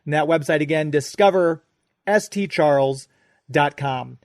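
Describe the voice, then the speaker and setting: An American man hosts a radio show in a chatty, informal style. His voice sits a little high, and the sound is dry and close, with no room to it. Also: a little slow, no echo. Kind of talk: conversational ad read and interview.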